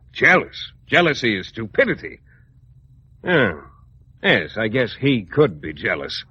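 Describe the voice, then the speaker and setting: An actor playing a haughty, bossy painter with a morbid streak, imperious and theatrical in old radio comedy style. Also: male voice, on the low side, sing-song, takes it slowly, sharp tone, exaggerated voice. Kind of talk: 1940s-50s radio comedy acting. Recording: muffled, faintly noisy old radio broadcast.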